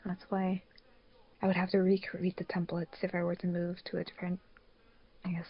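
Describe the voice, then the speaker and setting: A woman hosting a casual podcast, talking in an animated, rambling, slangy way. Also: on the low side, normal speed, slightly rough. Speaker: podcast host and fan dub producer. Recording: dull, dry lo-fi mic.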